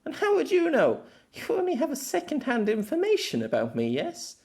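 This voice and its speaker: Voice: high voice, wildly swooping pitch, moderate pace, a little mellow, pitched above the performer's own voice, heavily acted. A performer voicing a proud young warrior mage girl who speaks formally and insistently in an audiobook story. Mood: challenging.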